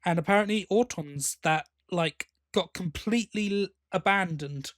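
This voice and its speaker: A man's voice: high voice, moderate pace, a bit bright, no room sound, studio quality. A man co-hosting a podcast, talking casually and enthusiastically as a fan. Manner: enthusiastic, appreciative. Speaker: podcast co-host.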